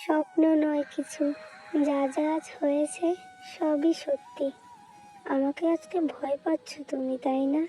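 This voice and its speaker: A woman's voice: high-pitched, little pitch movement, unhurried, mellow, soft background music, rough recording. A woman voicing a tender, anxious young ghost girl in love, pleading emotionally in a cartoon. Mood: earnest, hurt. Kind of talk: cartoon dialogue.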